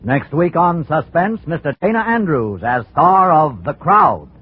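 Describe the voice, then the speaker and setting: A man's voice: a little high, very animated, unhurried, breathy edge. An American man announcing a 1950s radio program in a dramatic, formal style. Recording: muffled, band-limited old radio with faint music.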